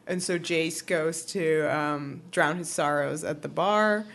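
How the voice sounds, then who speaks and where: Slightly low-voiced woman casually recapping. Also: slow pace, creaky. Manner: slangy, quotes characters in retelling. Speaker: podcast co-host.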